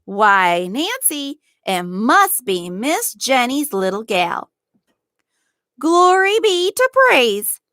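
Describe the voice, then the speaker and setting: A woman voicing a kindly, loyal old male gardener in a storybook, speaking in a gentle, deliberate rustic dialect. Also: high voice, very animated, no room sound, clean studio sound, voice pushed up, exaggerated voice. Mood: tender wonder. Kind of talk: storybook read-aloud.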